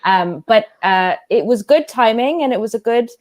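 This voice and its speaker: A woman's voice: a little high, lively intonation, moderate pace, a little mellow. A woman event host giving informal thanks and announcements to an online audience.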